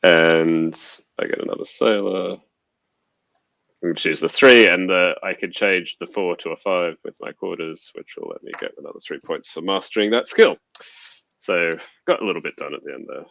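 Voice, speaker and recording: A man's voice: deep voice, lively intonation, moderate pace, creaky, a bit bright, dry recording. A man hosting a board game play-along with casual commentary and chat, in slightly lo-fi audio.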